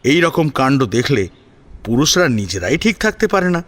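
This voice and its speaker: A man narrating a scripted dramatic audio story in an expressive, emphatic manner. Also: mid-range voice, moderate pace.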